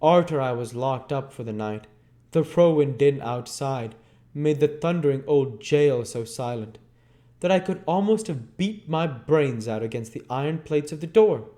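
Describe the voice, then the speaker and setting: Gruff London thug, storybook villain, man acting him out, raised pitch, forceful, scornful, impatient. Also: animated, measured pace, natural voice. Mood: boastful, gruff. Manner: loud, blunt, impatient and forceful. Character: gruff, scornful adult male London robber.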